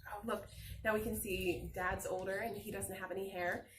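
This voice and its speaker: A woman's voice: medium pitch, level tone, normal speed, reverberant, noisy background. A woman doing an interactive picture-book read-aloud for children, encouraging them with questions and praise.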